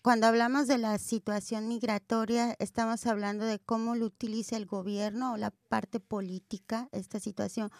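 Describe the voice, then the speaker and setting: A woman guest on a radio interview, explaining in a steady, explanatory way. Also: fairly high pitch, moderate pace, hardly any pauses, dry recording, studio quality. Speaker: theatre guest.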